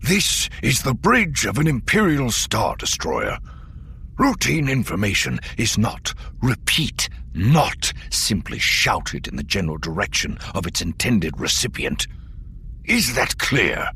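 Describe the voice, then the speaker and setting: A man performs an aging starship captain in a space-opera audiobook. He is gravelly and forceful, with clipped, icy authority and sweeping emphasis. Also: lowish voice, a little slow, a little hiss or hum, pitched above the performer's own voice, full character voice. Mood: icy rebuke. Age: older. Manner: clipped, regal, icy calm. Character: stern older male Imperial starship captain, icy, commanding.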